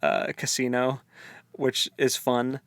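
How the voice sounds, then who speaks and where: A man's voice: mid-range voice, takes it slowly, gravelly, fairly bright tone, dry recording. A man co-hosting a casual book discussion with laid-back, hesitant phrasing. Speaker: co-host of a book read-along discussion.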